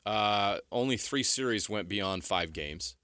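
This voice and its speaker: A man's voice: lowish voice, animated, measured pace, sharp tone, dry recording. An American man co-hosting sports talk, giving emphatic analysis in short punchy statements and questions. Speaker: sports radio co-host.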